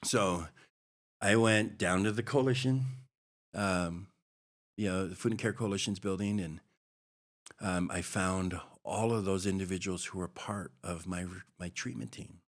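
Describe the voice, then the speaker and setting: Deep-voiced man telling his life story. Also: steady tone. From Hawaii. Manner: halting, reflective, many restarts and ums. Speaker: podcast guest. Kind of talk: personal storytelling interview.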